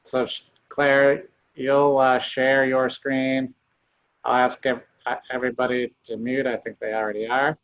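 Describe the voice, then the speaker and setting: Flat-voiced man moderating a call. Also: medium pitch, measured pace, dry recording. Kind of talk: introducing speakers. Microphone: video call. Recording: dull dry video call audio.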